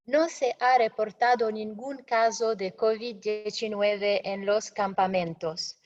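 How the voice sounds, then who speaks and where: Slow, halting woman presenter, non-native speaker, fillers and self-corrections, muffled lo-fi sound. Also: on the high side. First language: not Spanish. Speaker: webinar presenter. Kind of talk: presenting slides in a webinar.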